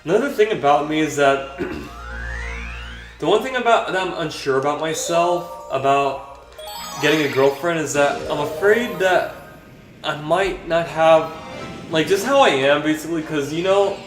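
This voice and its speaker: A young man with a dry, monotone voice chatting hesitantly while he plays a video game, stumbling through many fillers and restarts. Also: on the high side, unhurried, far from the mic. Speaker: vlogger. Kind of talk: rambling chat while playing a video game. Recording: echoey room with game music behind.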